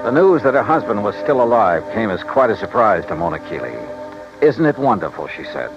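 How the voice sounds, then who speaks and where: Low-voiced, hard-boiled radio detective. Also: male voice, sing-song, fairly quick. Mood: wry, brooding. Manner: wry, clipped, pointed questioning. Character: hard-boiled, skeptical male private investigator, dryly probing. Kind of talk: 1950s-60s radio detective drama, first-person narration. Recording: dull old radio recording, music behind.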